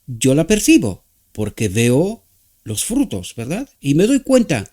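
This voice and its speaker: Romanian man preaching with lively pitch. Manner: halting, searching for words, frequent rhetorical "¿verdad?".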